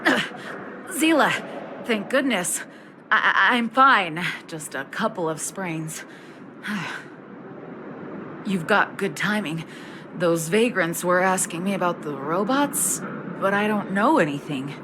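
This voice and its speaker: A woman's voice: mid-range voice, expressive, slow, pauses often, noisy background. A woman voicing a weary, earnest miner in a video game, with a sighing, hesitant manner. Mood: relieved, shaken. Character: weary shaken female miner, earnest and friendly.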